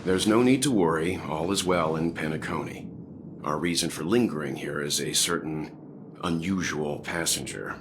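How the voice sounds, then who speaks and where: An actor playing a composed crew leader who speaks calmly and steadily. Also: male voice, very deep voice, moderate pace. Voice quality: calm, steady, reassuring. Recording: game music behind.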